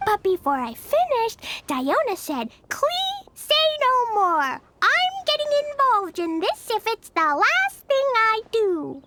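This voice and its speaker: A woman's voice: very high voice, wildly swooping pitch, slow pace, few pauses, heavy background noise, full character voice. A woman voicing a sweet, earnest little girl with a childlike manner in a video game. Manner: childlike, refers to self in third person.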